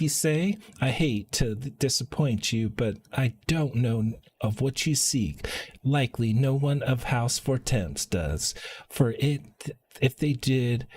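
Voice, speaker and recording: A man's voice: mid-range voice, a little mellow, slightly rough, pitched above the performer's own voice. A man voicing a polite, chatty attendant of a noble house in a fantasy game, with a theatrical lilt. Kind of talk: acting out game dialogue aloud.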